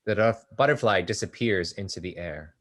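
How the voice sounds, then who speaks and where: A man's voice: sits low, normal speed. A man reading stage directions aloud in a plain, matter-of-fact way during a play table read.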